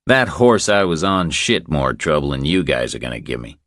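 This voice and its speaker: A man voicing a tough, unflappable thriller hero in an audiobook, laconic and calmly confident. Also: very deep voice, normal speed, no room sound, studio quality, full character voice. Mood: calm threat.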